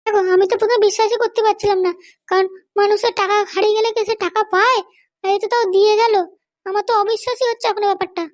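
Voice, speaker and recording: A man voicing a bossy, short-tempered middle-aged cartoon wife with a West Bengal accent and a pitched-up voice. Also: fairly even intonation, very fast, no echo. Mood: insistent. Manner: scolding, threatening. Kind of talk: comic cartoon dialogue.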